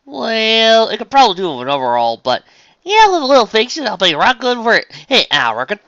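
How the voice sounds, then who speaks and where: A hearty young female farm pony, played by a man reading a story, with a twangy Southern US accent. The delivery is folksy, blunt and drawling, bright and lively in pitch. The sound is a little dull and lo-fi. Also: a little fast, no room sound, heavily acted. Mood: eager.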